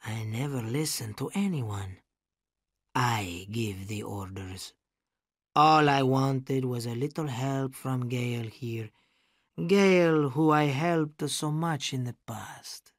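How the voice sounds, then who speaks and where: A man's voice: medium pitch, slow pace, dry recording, clean studio sound. A man voicing a volatile, menacing gangster boss in his fifties in an audiobook story. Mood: sneering menace. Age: middle-aged. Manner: swings from hushed menace to screaming rage.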